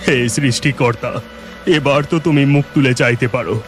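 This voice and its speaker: A man's voice: medium pitch, moderate pace, soft background music, lightly acted. A man playing a pious, plainspoken village farmer in a children's cartoon, with an exclamatory, lamenting manner. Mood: despairing.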